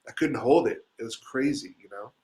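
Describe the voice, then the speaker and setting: Man from Chile, middle-aged, casual chat, swearing a lot, rambling, medium-pitched voice. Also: a little fast, rough recording. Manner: rambling, swearing, animated storyteller. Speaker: musician telling stories on a show.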